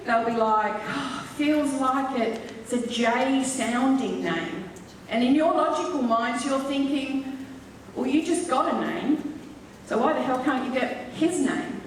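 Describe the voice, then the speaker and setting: A woman's voice: on the high side, far from the mic. English lady medium, joking and explaining, roomy hall with faint hiss. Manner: chatty, joking, explaining with laughs. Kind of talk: live stage demonstration talk. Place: large echoey festival hall.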